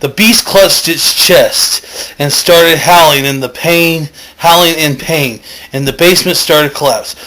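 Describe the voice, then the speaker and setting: A man with a fairly high voice narrates a silly story. He rambles along loosely, corrects himself often and drifts into asides as he goes. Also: unhurried, sharp tone, rough recording. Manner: rambling, self-correcting, digressive. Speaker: storyteller, host.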